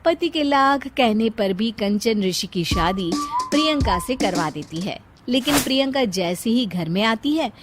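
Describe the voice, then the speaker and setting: A woman's voice: fairly high pitch, lively intonation, unhurried. A woman narrating a scripted moral story. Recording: loud music behind the voice.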